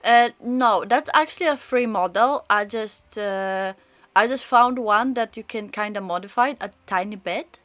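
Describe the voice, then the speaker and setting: A woman livestreaming a game, chatting casually in at a middle pitch. She rambles and thinks aloud into a close, dry microphone. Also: normal speed, a bit bright, no room sound, muffled recording. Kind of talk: casual chat and reactions while playing.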